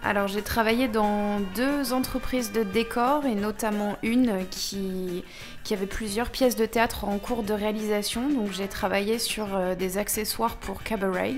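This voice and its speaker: A woman's voice: medium pitch, steady tone, moderate pace, faint music behind. A woman talking casually as a guest in a radio interview, describing her work in an informal way. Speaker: set decorator, radio guest. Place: radio studio.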